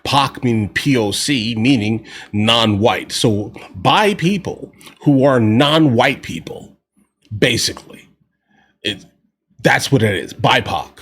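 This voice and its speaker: A man's voice: medium pitch, expressive, takes it slowly, a bit bright, husky. A man giving casual, slangy political commentary as host of a reaction video, talking directly to his viewers. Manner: informal slangy talk, often addressing listeners as guys.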